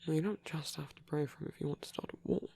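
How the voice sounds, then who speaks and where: A performer acts out a devout, caring male vampire priest in an intimate ASMR roleplay. His voice is very breathy and often whispered, with a creaky edge. He speaks gently and thoughtfully, in measured, slightly formal phrases at a medium pitch. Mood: earnest, soothing.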